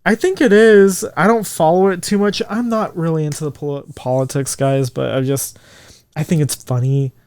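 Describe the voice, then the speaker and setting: A man in his twenties co-hosting a casual podcast chat, swearing freely, his voice jumping high when he gets indignant. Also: steady tone, moderate pace, darkish tone, smooth, dry recording. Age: 20s. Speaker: podcast co-host.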